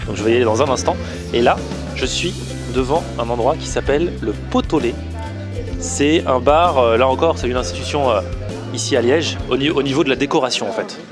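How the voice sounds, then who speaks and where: Chatty man vlogging over loud music. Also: mid-range voice, a little fast. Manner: informal, chatty, explanatory. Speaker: food vlogger and host. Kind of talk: casual vlog chat to camera.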